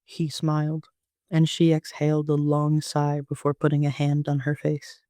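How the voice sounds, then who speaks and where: A man narrating a first-person story like an amateur audiobook reader, with an even storytelling delivery. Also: a little high, normal speed, dry recording, clean studio sound.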